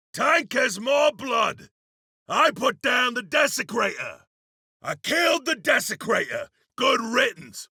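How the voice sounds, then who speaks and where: Gravelly, cocky berserker warrior. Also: male voice, extremely high pitch, no room sound, clean studio sound, full character voice. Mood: commanding, then triumphant. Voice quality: gravelly, rough. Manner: forceful shouted battle barks. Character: battle-hungry iron berserker warrior man, gruff and cocky. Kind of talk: video game voice lines.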